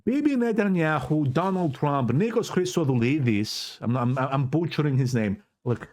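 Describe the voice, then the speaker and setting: A man's voice: on the high side, expressive, moderate pace, a little mellow. A man from Cyprus with a Greek Cypriot accent, giving a fervent, preachy political monologue to his own camera and repeating words for emphasis. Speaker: vlogger. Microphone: self-recorded camera setup.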